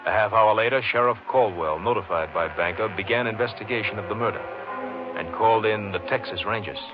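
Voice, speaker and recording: A man's voice: fairly low pitch, brisk, music in the background. A man reading scripted narration as the narrator of a 1950s radio drama. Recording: muffled old radio recording.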